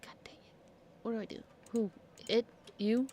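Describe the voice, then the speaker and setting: A woman's voice: fairly high pitch, steady tone, moderate pace, noisy background. A woman giving casual gameplay commentary as a streamer, talking to herself playfully and swearing casually. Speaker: game streamer.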